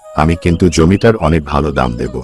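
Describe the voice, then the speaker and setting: A man's voice: extremely low pitch, expressive, fast, faint music behind. A man voicing a sly middle-aged village headman in a cartoon, coaxing with false friendliness. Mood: persuasive. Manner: persuasive, wheedling, falsely friendly. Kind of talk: Bengali cartoon story voice acting.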